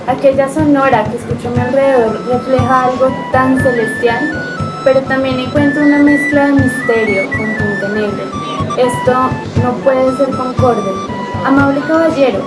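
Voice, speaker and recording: A woman's voice: high voice, normal speed, natural voice. A woman playing a polite, puzzled young traveller in an amateur radio drama, narrating her search theatrically. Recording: echoey, distant, loud music behind.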